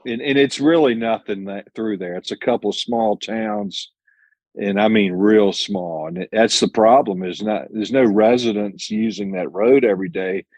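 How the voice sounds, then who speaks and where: A man's voice: lowish voice, moderate pace, a little mellow, no room sound. A man who drives his own truck, answering interview questions in a plainspoken, earnest way, with many restarts and self-corrections. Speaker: owner-operator truck driver, interview guest.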